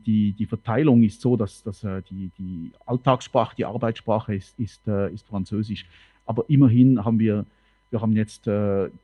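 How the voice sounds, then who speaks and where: A middle-aged man, bilingual with French as his mother tongue, speaking in a halting, measured way in a panel discussion. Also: medium pitch, dry recording. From canton of Fribourg, Switzerland. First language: French, grew up bilingual with German. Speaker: city politician on a panel. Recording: slightly dull, dry sound.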